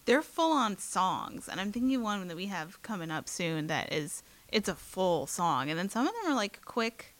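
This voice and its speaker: A woman's voice: mid-range voice, big pitch swings, fairly bright tone. A woman co-hosting a podcast, chatting casually in a playful, joking way. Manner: playful, quick to joke. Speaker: podcast co-host. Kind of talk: casual chat.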